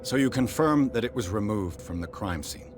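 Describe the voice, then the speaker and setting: An actor playing a weary, wry private detective in a video game, brooding and muttering to himself. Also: male voice, fairly low pitch, animated, normal speed, noisy background, natural voice. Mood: probing, insistent.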